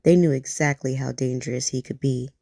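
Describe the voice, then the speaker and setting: A woman reading a bedtime story aloud in a soft, gentle, soothing way, close to the mic. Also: rumbling low, fairly even intonation, a little fast, vocal fry, no echo.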